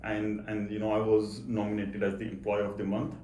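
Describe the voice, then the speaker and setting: A man with a stutter speaking haltingly and earnestly as an interview guest about his own experience. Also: on the low side, completely flat delivery, warm tone. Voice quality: stutter, with repeated words and blocks. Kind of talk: personal interview talk. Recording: echoey room, faint background noise.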